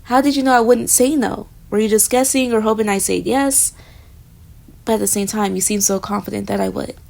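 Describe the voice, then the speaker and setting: A young woman voicing a flustered, jealous roommate in a romantic audio roleplay, talking straight to the listener and trailing off into soft murmurs. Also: mid-range voice, fairly even intonation, brisk, creaky, close to an everyday voice. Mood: giddy.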